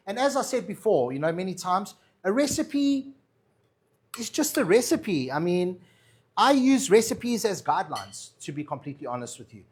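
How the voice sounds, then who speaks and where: A man with a South African accent, a chef chatting casually through a cooking demo and answering viewer questions. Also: sits high. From Madeira, raised in South Africa. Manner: chatty, reassuring, tags lines with "all right?" and "yeah?".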